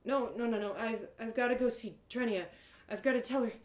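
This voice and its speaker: A woman's voice: on the high side, a little fast, pitched above the performer's own voice, close to an everyday voice. A woman voicing a headstrong, weary adventurer girl in a storybook, exclamatory and stammering when upset. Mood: delirious, urgent. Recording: roomy echo.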